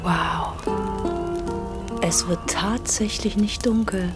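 An actress playing a gentle, dreamy wife in a radio drama, soft-spoken and accommodating. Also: female voice, mid-range voice, steady tone, slow, loud music behind the voice, natural voice. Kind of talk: German radio drama acting.

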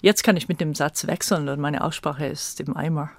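A woman's voice: deep voice, fairly even intonation, moderate pace, dry recording. A middle-aged woman from the United States, a native English speaker with an American accent, reminiscing conversationally as an interview guest. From Virginia, United States.

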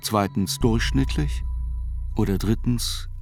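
A man reading a scripted literary chronicle as narrator, in a measured, deliberate and articulate way. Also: on the low side, gravelly, darkish tone, soft background music.

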